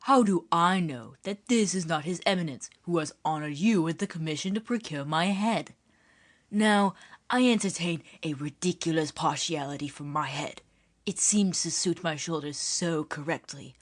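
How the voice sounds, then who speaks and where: A woman reading an audiobook, voicing a young musketeer with churchly airs, polished, ironic and measured. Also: sits low.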